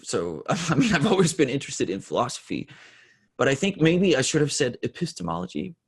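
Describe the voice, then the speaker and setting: A man in his forties from Denmark, a native Danish speaker, talking candidly in an interview in a halting, self-correcting way with a husky, gravelly voice. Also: a little high, sing-song. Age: 40s. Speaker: interview guest.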